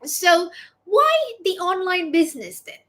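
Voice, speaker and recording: A woman with a distinctly high-pitched voice hosts an online interview. She talks in a chatty, conversational way as a business coach, pausing and doubling back on words as she goes. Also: sing-song.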